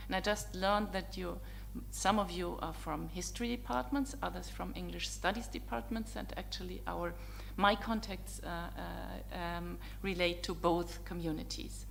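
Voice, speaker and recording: A middle-aged woman whose first language is German, a visiting professor giving a formal, courteous opening address to students, measured and dotted with ums and uhs. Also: medium pitch, vocal fry, a little hiss or hum.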